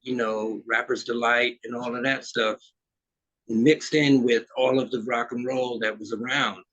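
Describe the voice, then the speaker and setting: A man's voice: mid-range voice, fairly even intonation, unhurried, fairly bright tone, lo-fi sound. A man in his fifties from Trinidad, a musician guest talking in an easygoing, rambling way with plenty of fillers. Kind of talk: casual interview chat.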